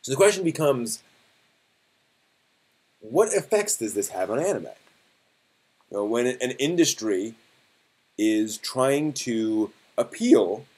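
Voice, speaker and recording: A man hosting a live stream, talking casually and conversationally, thinking aloud in a halting way. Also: mid-range voice, a little mellow, slightly rough, low-quality recording.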